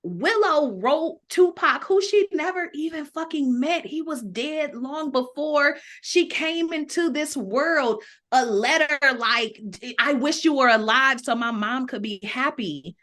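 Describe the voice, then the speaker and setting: Outspoken American woman, higher-pitched voice. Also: lively intonation, a little slow, hardly any pauses, fairly bright tone. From Toledo, United States. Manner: outspoken, slangy, profane. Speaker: podcast panelist. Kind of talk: casual opinionated podcast chat.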